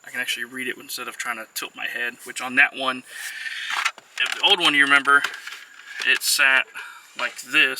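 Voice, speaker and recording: A man giving a casual, unscripted how-to walkthrough as a vlogger, talking in an offhand, hesitant way with trailing phrases. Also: mid-range voice. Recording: noisy on-location vlog audio.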